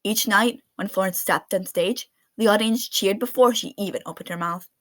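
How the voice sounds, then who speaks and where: Lady doing an expressive kids storybook read-aloud, medium pitch, dry sound with no room echo. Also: normal speed. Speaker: read-aloud narrator of children's books.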